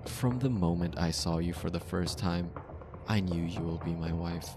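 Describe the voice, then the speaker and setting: Possessive mafia boss played by a man, low voice, slow intimate command, ASMR roleplay over prominent music. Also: steady tone, natural voice. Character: possessive male mafia boss, controlling yet tender bridegroom. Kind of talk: ASMR romantic roleplay monologue.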